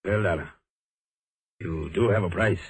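An actor in an old radio crime drama playing a courtly, coldly menacing fat villain boss with pompous, florid speech. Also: male voice, low-pitched, measured pace. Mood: oily, threatening. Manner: pompous, florid, throat-clearing. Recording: noisy old radio recording.

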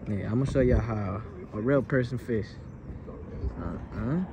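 A man's voice: fairly low pitch, a little slow. A young man bantering casually with friends in slangy, profane talk, recorded on the go. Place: outdoors by a lake and in a car. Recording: noisy outdoor and in-car recording.

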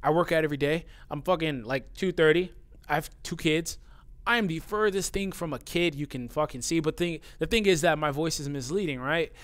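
A man's voice: fairly high pitch, brisk, fairly bright tone, clear, resonant tone. A man who says his voice sounds young, talking casually and bluntly as he reads out viewer comments, swearing often and getting heated. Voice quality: youthful-sounding voice. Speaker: YouTuber reading viewer comments. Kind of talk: casual talk to camera.

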